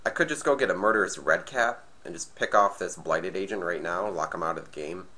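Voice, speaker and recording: Deep-voiced man with a sick, nasal rasp. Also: a little fast, pushed and bright. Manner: thinking aloud, hesitant restarts. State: sick, slightly raspy and nasal. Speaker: gameplay commentator. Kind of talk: casual card game play commentary.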